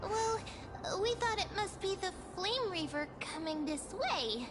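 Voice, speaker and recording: An actress voicing a small, excitable female companion in a game, anxious and quick to react. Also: very high voice, over music. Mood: wondering.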